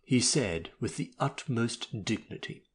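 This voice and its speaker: Male audiobook narrator, swooping intonation, slightly rough husky tone, theatrical storytelling with melodramatic flourish. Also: mid-range voice, animated, measured pace. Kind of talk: expressive scripted story narration.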